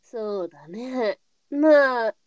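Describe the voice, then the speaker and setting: Woman doing no-nonsense brothel madam, high voice, blunt and knowing, period drama, dry sound. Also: very slow, no room sound. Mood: pragmatic. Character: worldly, brusque, pragmatic mistress of a brothel. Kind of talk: online live voice drama acting.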